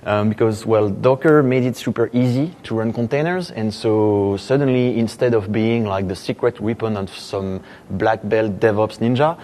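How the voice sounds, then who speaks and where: A man with a thick French accent speaks at a conference, loose and conversational, with plenty of "uh" and "um" and wry asides. His voice sits a bit low for a man. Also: normal speed, dark tone. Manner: conversational, self-deprecating, many fillers. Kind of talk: technical conference talk. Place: conference hall.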